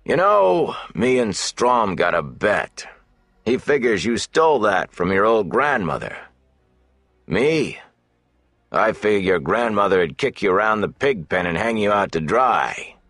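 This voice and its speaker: A male narrator plays a big, mean tavern heavy from a fantasy audiobook. He taunts in a slangy, menacing drawl that swings above a deep natural register, recorded close and dry. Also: big pitch swings, unhurried, a bit bright, no echo, full character voice. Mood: mocking taunts.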